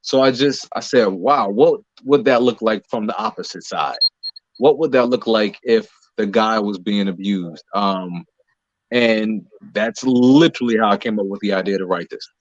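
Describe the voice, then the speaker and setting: American man, measured, slightly dull dry recording. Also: on the low side, no echo. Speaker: playwright, director and actor interviewed as guest. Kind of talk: conversational interview answers.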